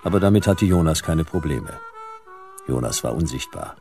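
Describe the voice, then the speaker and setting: Very deep, gravelly detective narrator. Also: male voice, expressive, normal speed, darkish tone, soft background music. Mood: wry, laconic. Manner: laconic, dry, clipped sentences. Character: world-weary middle-aged male private detective narrating his case. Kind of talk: noir radio play narration.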